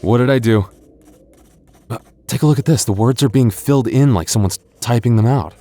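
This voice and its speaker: A man's voice: medium pitch, fairly quick, warm tone, natural voice. A man voicing a playful, teasing young goth boyfriend in an ASMR roleplay, often stammering and chuckling. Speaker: voice actor.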